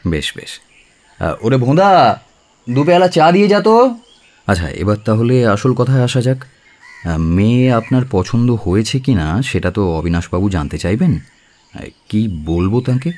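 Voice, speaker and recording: A man's voice: medium pitch, big pitch swings, unhurried, long pauses, darkish tone. An actor playing a frank, wry young bachelor in a radio play, polite but teasing as he talks. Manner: polite, teasing, hesitant openers.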